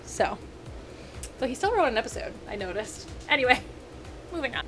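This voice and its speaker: A woman in her thirties, a video critic ranting casually to camera, rambling and animated with frequent self-corrections and asides. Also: high voice. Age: 30s.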